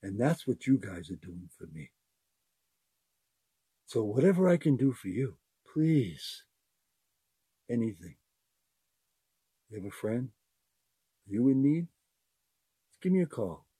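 An older man with a very husky, mellow voice talks to his listeners unscripted, earnest and rambling, circling back over the same phrases. His pitch swings widely as he talks, and the sound is dry and close. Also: very animated, takes it slowly, pauses often, dry recording. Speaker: podcast host. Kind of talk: unscripted heartfelt monologue.

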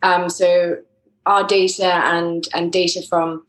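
A young woman with an English accent, answering interview questions about her startup in a clear, explanatory way. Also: fairly low pitch, level tone, slow pace, a bit bright, reverberant, poor audio. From England. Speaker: startup co-founder and CTO.

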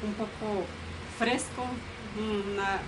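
Salvadoran woman, very slow, soft and hesitant, noisy background. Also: mid-range voice, steady tone. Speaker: interview guest.

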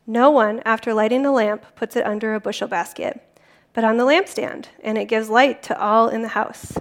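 Lady reading scripture out loud, careful and calm, a bit high. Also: normal speed. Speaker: volunteer scripture reader.

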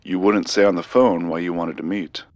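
A man's voice: low-pitched, steady tone, moderate pace, no echo, close to an everyday voice. A man playing a wry, reluctant collector with a dry, skeptical manner in an audio drama podcast. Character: wry, reluctant, disreputable male antiques collector.

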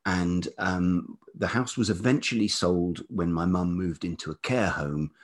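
Deep-voiced, middle-aged southern English man. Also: unhurried. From Kent, England. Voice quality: velvety. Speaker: podcast guest, writer and musician. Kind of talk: reflective personal storytelling in conversation.

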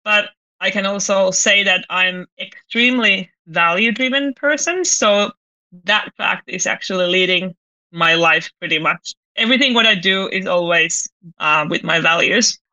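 A woman from Finland with a Finnish accent, a company founder speaking as a podcast guest and pausing to find her words. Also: mid-range voice, sharp tone, muffled recording. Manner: thoughtful, searching for words, light laughs. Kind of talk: podcast interview.

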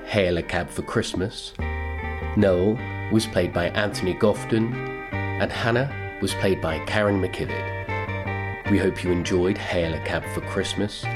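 Deep male announcer reading radio play credits, guitar playing behind him. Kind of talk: scripted closing credits. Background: plucked guitar music.

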